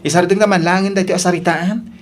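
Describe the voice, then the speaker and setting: A woman's voice: lowish voice, fairly even intonation, fast talker, slight background noise, lightly acted. A woman with a Filipino accent playing a nagging, skeptical older sister in an audio drama. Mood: scolding. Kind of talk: Ilocano radio drama acting.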